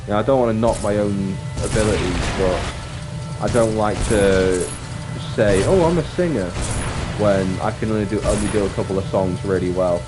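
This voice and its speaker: A man with a deep voice chatting casually on a livestream, rambling reflectively and often restating his phrases. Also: moderate pace. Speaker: streamer and actor. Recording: music playing behind the voice. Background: clear background music.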